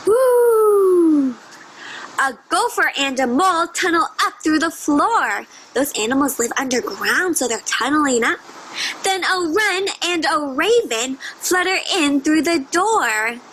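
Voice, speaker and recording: High, bright woman reading to children. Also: lively intonation, a little hiss or hum, rough recording. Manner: exaggerated, drawn-out storytelling delivery with child-directed asides. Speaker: teacher reading a picture book aloud to young children. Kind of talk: animated read-aloud with questions to young listeners.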